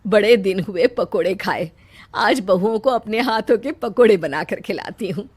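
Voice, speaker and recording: A woman's voice: medium pitch, normal speed, darkish tone, breathy edge, lightly acted. A woman voicing a kindly older mother-in-law in a cartoon story, motherly, reassuring and encouraging. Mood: affectionate. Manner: motherly, plain-spoken, encouraging.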